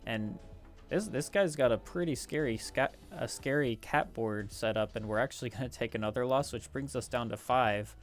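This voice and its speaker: A man's voice: medium pitch, moderate pace. A man casually commentating on his gameplay, talking through strategy in a hesitant, rambling way. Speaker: gaming video host. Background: background music.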